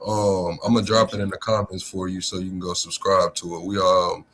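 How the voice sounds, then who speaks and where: A man's voice: low voice, little pitch movement, normal speed, a bit bright. A man hosting a live online debate panel, talking loosely and telling stories in slangy casual speech. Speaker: livestream debate host.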